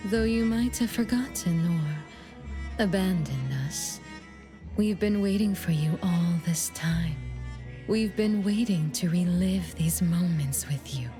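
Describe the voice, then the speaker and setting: An actress playing an enigmatic shadow of an older sister in a video game, measured, knowing and gently condescending. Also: female voice, fairly low pitch.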